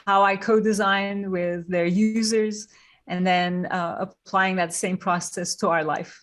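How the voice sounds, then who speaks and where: A woman who grew up in Turkey, a guest designer on a podcast, explaining her process thoughtfully and step by step. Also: medium pitch. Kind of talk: podcast interview.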